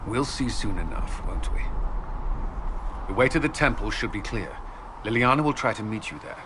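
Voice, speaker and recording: A man's voice: lowish voice, animated, moderate pace, close to an everyday voice. An actor playing a sober, wary male military commander in a fantasy game. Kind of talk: dramatic fantasy game acting. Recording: clear outdoor ambient noise behind.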